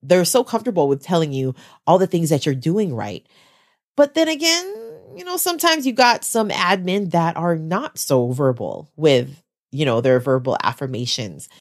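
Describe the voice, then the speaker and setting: A woman with a low, congested voice, still getting over the flu. She talks straight to her listeners as a special ed teacher with her own podcast, chatty and encouraging. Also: sing-song, moderate pace. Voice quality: lower, congested voice. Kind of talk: solo podcast talk.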